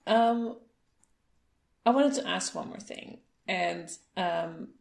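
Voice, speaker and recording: A woman's voice: lowish voice, slow pace, creaky, darkish tone. A young adult woman, interviewing in a chatty way and laughing often. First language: Dutch. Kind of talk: casual conversation.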